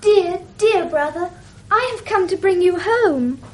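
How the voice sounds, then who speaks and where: Eager, very high-voiced little girl. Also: animated, a little slow, darkish tone, low-quality recording. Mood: joyful, eager. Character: eager, affectionate little girl, a devoted sister. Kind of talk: 1960s radio drama acting.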